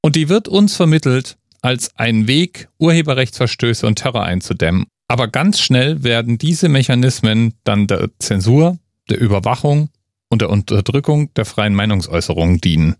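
A man's voice: medium pitch, expressive, moderate pace, no room sound, studio quality. A man giving conversational, emphatic opinion commentary straight to the listener, with rhetorical questions. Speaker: host of an online commentary.

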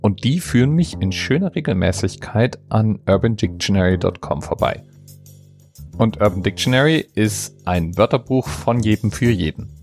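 A man's voice: fairly low pitch, expressive, moderate pace, a little mellow, soft background music. A man hosting a podcast, explaining things in a casual solo monologue. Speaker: podcast host.

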